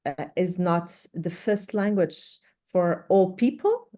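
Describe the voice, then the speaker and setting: A woman from Portugal, a native Portuguese speaker with a Portuguese accent, presents an instructional webinar in a halting, hesitant way with many pauses. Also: on the low side, mellow. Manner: hesitant, halting delivery with frequent pauses and repeated words. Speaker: research director presenting a webinar.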